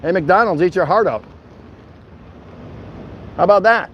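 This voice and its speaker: Higher-pitched American man, folksy vlogger. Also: animated, measured pace, highs cut off, a little hiss or hum. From Milwaukee, United States. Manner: folksy, colloquial, jokey. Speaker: walking vlog host. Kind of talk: casual livestream chat to viewers. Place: outdoors at a city food truck.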